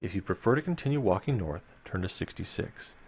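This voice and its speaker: A man's voice: low-pitched, lively intonation, brisk, a little mellow, breathy edge. A man live-streaming a read-aloud of an adventure gamebook, mixing storytelling narration with casual asides to his viewers.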